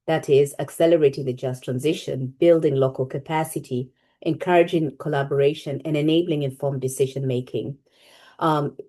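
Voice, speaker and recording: A woman presenting on a webinar, walking through slides in a calm, low-key way with the odd filler.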